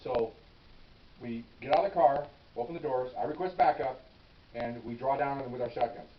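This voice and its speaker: A retired police officer, an older man, telling a story from his career to the camera in a casual, animated way and acting out the shouting. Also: medium pitch, fairly quick. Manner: animated, acts out his own yelling. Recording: roomy, distant, dull with hiss.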